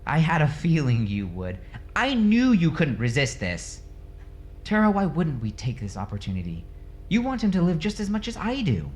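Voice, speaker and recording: A man's voice: fairly high pitch, wildly swooping pitch, normal speed, voice pushed up. A man playing an urgent, exasperated father who pleads to save his son in an audiobook drama. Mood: urgent, pleading. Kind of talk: dramatic audiobook character dialogue.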